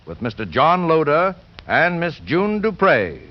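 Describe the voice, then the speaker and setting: A man's voice: a little high, sing-song, slow. A man announcing a 1940s radio show in a formal, dramatic scripted style. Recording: dull 1940s radio broadcast, faint music.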